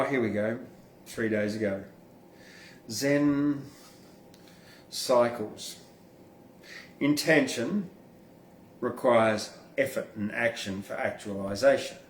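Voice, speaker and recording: A man's voice: medium pitch, recorded from a distance, a little hiss or hum. A man hosting and performing his own poetry, with casual asides and an informal, blunt manner. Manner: informal, blunt, freely swearing. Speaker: podcast host and poet reading own verse. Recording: roomy, echoing room sound.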